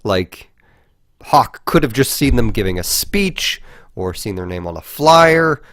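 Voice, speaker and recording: A man co-hosting a podcast, casually discussing video games and haltingly thinking aloud, with some swearing. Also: mid-range voice, sing-song, fairly bright tone, no room sound, studio quality. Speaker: podcast host.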